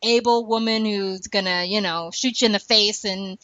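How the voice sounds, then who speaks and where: A woman hosting a casual podcast chat, talking fast and laughing often between points. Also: mid-range voice, no echo. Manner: chatty, laughs often, animated asides. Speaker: podcast host. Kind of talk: casual chat about a TV show. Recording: dull lo-fi, dry.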